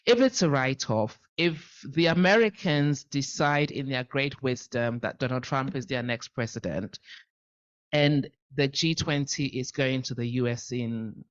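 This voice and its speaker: A man at a policy roundtable with a loud voice, speaking forthrightly and stressing his points by repeating them. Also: medium pitch, a little slow, smooth, lo-fi sound. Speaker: panel participant.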